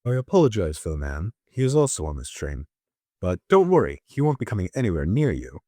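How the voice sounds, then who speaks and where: A man's voice: deep voice, very animated, a little fast, warm tone, no room sound, studio quality, pitched below the performer's own voice. A man voicing a genial, courteous train conductor in an audio story, polite and reassuring.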